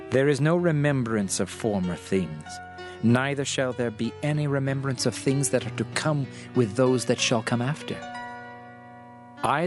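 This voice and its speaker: Music plays clearly behind a solemn king acted by a man, reflective and weary of the world. He declaims dramatized scripture in measured phrases, with a mid-pitched voice. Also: lightly acted.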